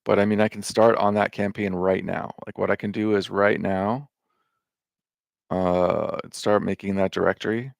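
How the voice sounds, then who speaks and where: A man with a fairly low voice and a lot of gravel and vocal fry talks through a casual, rambling monologue. He wanders between thoughts with fillers and restarts and swears without a second thought. The sound is dry and close, with no room around it. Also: no room sound. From United States. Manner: loose and meandering, with lots of fillers, restarts and swearing. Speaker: vlogger.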